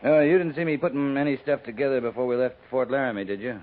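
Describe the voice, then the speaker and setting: An actor playing a gruff, absent-minded frontier cavalry captain in a muttering 1950s radio western style. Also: male voice, medium pitch, steady tone, fast talker, close to an everyday voice. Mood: preoccupied. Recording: noisy, tinny 1950s radio recording.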